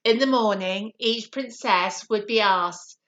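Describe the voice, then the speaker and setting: A woman teacher reading a story to children in an expressive, encouraging way. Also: medium pitch, slow, muffled recording. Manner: encouraging, animated.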